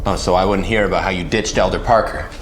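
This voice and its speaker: A man's voice: sits low, fairly even intonation, quick pace, lightly acted. A young man acting a loyal, persuasive werewolf second-in-command in an audio drama, talking casually. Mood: concerned, chiding. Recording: faint music bed behind the voice.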